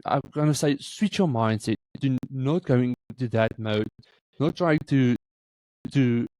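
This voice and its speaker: A man with an Afrikaans South African accent, interviewing a guest with halting questions full of fillers. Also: mid-range voice, darkish tone, dry recording, rough recording. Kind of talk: interview conversation.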